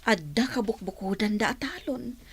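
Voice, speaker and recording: A woman playing a poor, patient, hardworking village mother in a radio drama, gently advising. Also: a little high, lively intonation, moderate pace, slightly rough, heavily acted. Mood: resentful. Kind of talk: Filipino radio drama acting.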